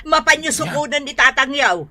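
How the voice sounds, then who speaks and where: A woman's voice: sits high, expressive, moderate pace, sharp tone, heavily acted. A woman with an Ilocano accent playing a nagging, exasperated mother and wife in a radio drama. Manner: nagging, emphatic scolding.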